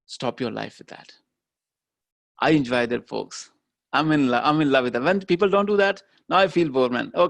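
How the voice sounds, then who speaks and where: A man's voice: fairly high pitch, creaky, no room sound. Emphatic man giving a keynote. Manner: emphatic, repeats key phrases. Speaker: keynote speaker. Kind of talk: motivational speech.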